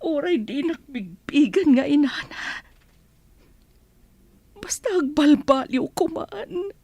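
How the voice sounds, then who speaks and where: High-voiced, slow, pleading mother acted by a woman. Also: expressive, mellow, no echo, full character voice. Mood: troubled, reflective. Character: anguished, pleading mother who serves as a household helper. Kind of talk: Ilocano radio drama acting.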